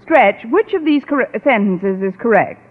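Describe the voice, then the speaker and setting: An actress playing a wry, articulate English teacher with precise diction, in old-time radio comedy style. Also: female voice, on the high side, expressive, moderate pace. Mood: patient, lecturing. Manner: crisp schoolmarm diction, dry wit. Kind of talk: 1940s-50s radio sitcom acting. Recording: muffled old radio recording.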